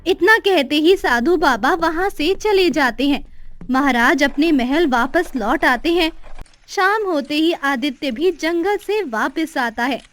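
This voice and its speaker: A woman's voice: high-pitched, animated, slow, fairly bright tone, soft background music. A woman narrator reading a scripted children's moral story.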